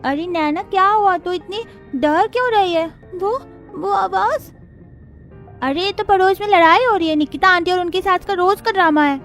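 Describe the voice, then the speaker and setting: A woman's voice: very high voice, moderate pace, a bit bright. A woman voicing an innocent, earnest little girl in a cartoon family story. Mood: concerned. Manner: childlike, earnest. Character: little girl, innocent and fearful, with her friend. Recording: background music under voice.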